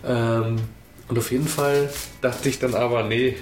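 A man's voice: mid-range voice, fairly even intonation, measured pace, a little hiss or hum. A man co-hosting a podcast, telling anecdotes in a casual, rambling, conversational way. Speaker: podcast co-host. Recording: roomy sound, faint music.

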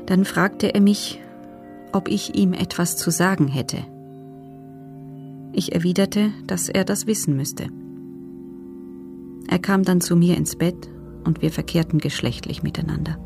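A guarded female prisoner gives testimony in a radio play. Her voice is lowish and dark, with a marked creak. She speaks soberly and with restraint, at a measured tread. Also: steady tone, soft background music. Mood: restrained, sober. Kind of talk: documentary radio play, reading written testimony.

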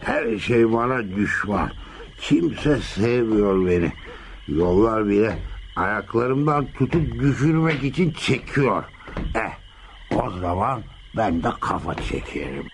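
An actor playing a confused, self-pitying drunkard father in a radio play, with halting, groaning delivery. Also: on the low side, lively intonation, slight background noise. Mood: drunken, self-pitying. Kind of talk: Turkish radio theatre acting.

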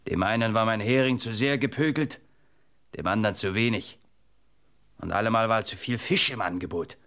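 A man's voice: fairly low pitch, normal speed, a bit bright. An actor playing a proud, impatient young fisherman husband in a radio play, brusque and dismissive in manner. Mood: grumbling. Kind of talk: German radio play acting.

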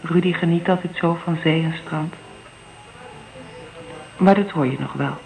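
A woman's voice: fairly low pitch, unhurried, vocal fry, darkish tone, low-quality recording. An actress playing an earnest, candid young divorced mother in a Dutch radio drama. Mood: wistful.

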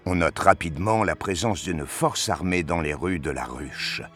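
A man narrating a scripted science-fiction audio story in a deliberate, dramatic storytelling manner. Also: sits low, a bit bright, faint music behind.

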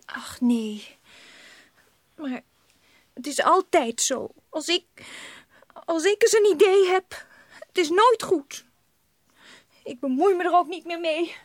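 A sulky, lovestruck society girl in a period radio drama. The voice is extremely high, swings about in petulant pouts, and is recorded very dry. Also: lively intonation, slow pace, pauses often, dry recording, full character voice. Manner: petulant, pouting.

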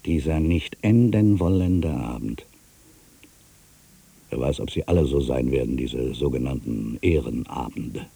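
An actor playing a melancholy writer in his fifties, musing haltingly to himself in a radio play monologue, with a gravelly, husky voice. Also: rumbling low, mellow, dry recording, exaggerated voice. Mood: brooding. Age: 50s. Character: aging melancholy male writer back in his hometown.

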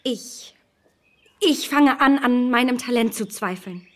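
Indignant young gentlewoman, high-voiced, reverberant. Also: takes it slowly. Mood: uneasy. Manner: eloquent, emphatic, formal. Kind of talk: radio drama acting of a literary classic.

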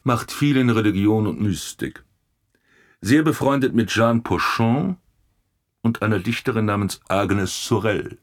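An actor playing a blunt, grumbling police commissioner with a sardonic, gossipy manner in a German radio play. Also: male voice, lowish voice, lively intonation, a little slow. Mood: sardonic, exasperated. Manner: sardonic, colourful.

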